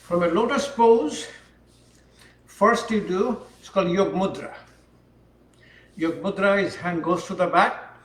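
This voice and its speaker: A man teaching a yoga class, speaking calmly and unhurriedly while he demonstrates the poses. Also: high voice. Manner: calm, unhurried instruction while holding poses. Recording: echoey room, voice from far off.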